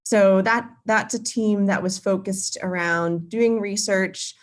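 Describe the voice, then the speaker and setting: A woman's voice: medium pitch, steady tone, slow. A young woman in her twenties, a guest speaker giving a casual online talk about her career, with many ums and restarts. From Boston, Massachusetts. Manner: friendly, many filler ums and uhs, self-correcting. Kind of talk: casual presentation to a live virtual audience. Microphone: video call.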